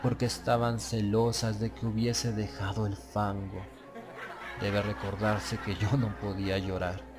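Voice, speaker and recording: A man narrating a gothic horror tale in the first person, with a solemn, measured literary delivery. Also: on the low side, little pitch movement. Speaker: audiobook narrator. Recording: music bed under the voice. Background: prominent music.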